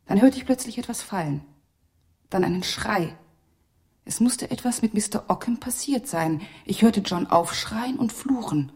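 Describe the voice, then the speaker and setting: An actress playing a living female ventriloquist's puppet in a radio crime drama, sorrowful and resolute, confessing solemnly, often in a breathy voice. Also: medium pitch, lively intonation, measured pace, darkish tone. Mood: haunted.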